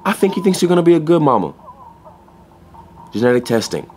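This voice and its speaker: A man's voice: on the high side, sing-song, a little fast, a little mellow, a little hiss or hum. A young man playing an earnest, guarded pet store clerk in a naturalistic audio drama, with blunt, slangy, hesitant phrasing. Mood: uneasy, reassuring. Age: young adult.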